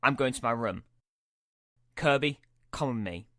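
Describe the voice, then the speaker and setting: Man playing an arrogant, hot-tempered dark lord, bright and forceful. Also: mid-range voice, lively intonation, a little slow, no room sound, studio quality. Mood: angry, threatening. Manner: threatening, imperious. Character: arrogant, hot-tempered Sith lord master, menacing and domineering. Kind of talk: amateur sci-fi roleplay acting.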